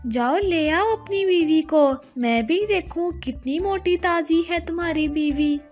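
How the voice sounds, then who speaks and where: A woman's voice: very high voice, a little slow, a little mellow, faint music behind, pitched above the performer's own voice. A woman voicing a gloating, bossy, greedy female kite bird in a children's cartoon.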